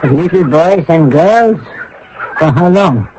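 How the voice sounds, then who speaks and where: A wary sixty-five-year-old farmer in an old radio play. His voice is a bit high and full of doubt, on a noisy recording with clucking chickens behind. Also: expressive, slow pace, dull audio. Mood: incredulous. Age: 60s. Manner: questioning, incredulous. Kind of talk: radio drama acting. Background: farmyard chickens and rooster.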